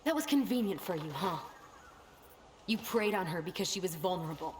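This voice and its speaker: Woman acting unforgiving young woman, pointed, animated intonation, game drama, roomy echo. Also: fairly low pitch, brisk, a little hiss or hum, lightly acted. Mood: cold, stern. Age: young adult. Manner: confrontational, pointed. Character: accusing young woman, indignant and unforgiving daughter.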